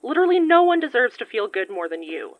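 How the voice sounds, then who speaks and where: A woman's voice: a little high, sing-song, quick pace, no room sound, narrow, tinny audio. A woman acting in a fiction podcast as a playful, nosy, loyal best friend, teasing and slangy. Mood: delighted, supportive.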